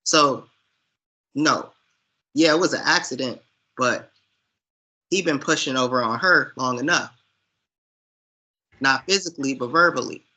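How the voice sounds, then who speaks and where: Slow-talking man with a bright, forceful voice. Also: mid-range voice. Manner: earnest, opinionated, emphatic. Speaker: podcast co-host discussing films. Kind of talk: conversational film commentary.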